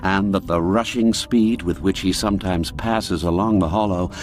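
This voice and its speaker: A man narrating a classic radio story in a measured, deliberate storytelling manner. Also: low voice. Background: faint background music.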